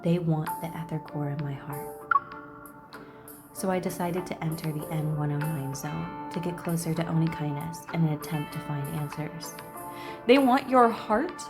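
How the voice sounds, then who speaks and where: A woman doing casual game commentary and reading lines aloud, expressive, blunt and sassy. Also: sits low, moderate pace, over loud music. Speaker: video creator reacting to a game.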